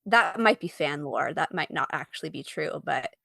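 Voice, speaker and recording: A woman speaking as an interview guest, an artist chatting casually about her own work in an animated, informal, giggly way. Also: low voice, normal speed, creaky, slightly rough, no room sound, clean studio sound.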